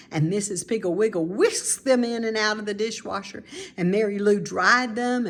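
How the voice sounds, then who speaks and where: A woman reading a children's story aloud in an expressive, deliberate narrating style. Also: fairly high pitch. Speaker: storybook reader.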